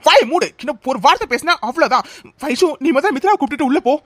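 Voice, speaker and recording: A woman's voice: sits high, very animated, rapid-fire, fairly bright tone, dry recording. A woman playing an indignant younger relative, scolding and protesting, in a melodramatic audio serial. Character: indignant younger female relative defending an accused girl.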